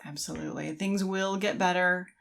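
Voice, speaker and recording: A woman's voice: on the low side, steady tone, normal speed, vocal fry, fairly bright tone. A middle-aged woman co-hosting a podcast in casual conversation, speaking in an agreeable, encouraging manner. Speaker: podcast co-host.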